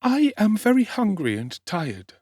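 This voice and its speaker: Man as a high-voiced, weary orphan boy. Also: wildly swooping pitch, a little slow, a little mellow, husky, no room sound, clean studio sound, heavily acted. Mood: tearful, exhausted. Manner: plaintive, earnest. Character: weary, hungry, meek young orphan boy.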